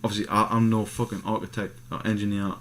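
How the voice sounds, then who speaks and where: A man's voice: lowish voice, little pitch movement, fast talker, a bit bright. A man with a Scottish accent chatting casually and informally as a podcast co-host, with some swearing. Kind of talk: casual chat.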